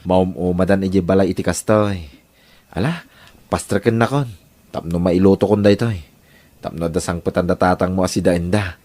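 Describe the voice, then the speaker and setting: A man's voice: deep voice, normal speed, darkish tone, natural voice. A man playing a shy, humble and helpful young suitor in an Ilocano audio drama. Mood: friendly, polite.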